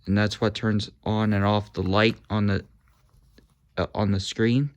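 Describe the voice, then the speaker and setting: Guy slowly showing off some walkie talkies at home, lots of uhs, kinda low voice. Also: little pitch movement. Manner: halting, many uhs and ums, talks while handling gear. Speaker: hobby video host demonstrating walkie-talkies. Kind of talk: casual hands-on demo.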